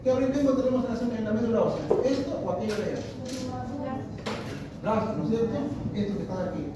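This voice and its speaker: A man with a high voice teaches a histology class in a very echoey room, picked up from a distance. He repeats himself, restarts phrases and asks students questions, with steady room noise behind him. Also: fast. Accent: Peruvian Spanish. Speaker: histology professor. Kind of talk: classroom lecture. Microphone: distant room mic. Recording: echoey classroom recorded from afar.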